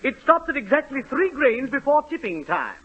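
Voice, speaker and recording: A man's voice: extremely high pitch, sing-song, moderate pace. A man playing a stiff, deferential butler in a 1930s radio comedy. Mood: prim. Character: fussy stuffy male butler, middle-aged. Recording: muffled old radio broadcast.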